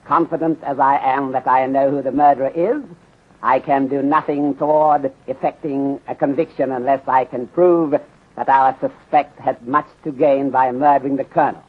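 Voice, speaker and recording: An actor playing a courteous, shrewd detective in a 1930s radio drama, speaking formally and with measured politeness, often dropping articles. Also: male voice, medium pitch, steady tone, lightly acted. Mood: deliberate, confident. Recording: muffled, tinny 1930s radio recording.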